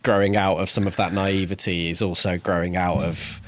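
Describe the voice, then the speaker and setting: A man's voice: sits low, flat delivery, normal speed. A man co-hosting a podcast, discussing a book casually in a tentative, reflective and agreeable way. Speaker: podcast co-host.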